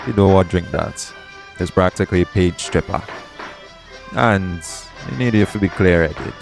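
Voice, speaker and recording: A man playing a roguish, protective pirate captain in an intimate audio roleplay, talking tenderly to one listener in a colloquial seafarer's dialect. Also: deep voice. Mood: friendly, coaxing.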